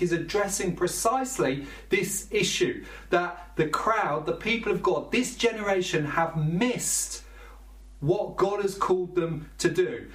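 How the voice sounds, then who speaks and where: A man preaching a sermon, explaining scripture in a conversational way with small restarts. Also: sits high. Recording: roomy, from a distance.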